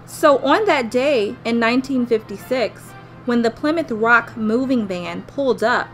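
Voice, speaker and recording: A woman's voice: a little high, unhurried, close to an everyday voice, low-quality recording. Narrator, female: an actress as a nostalgic, wryly dramatic woman telling a radio play memoir, light music underneath. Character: grown woman fondly recalling her 1950s Midwestern childhood.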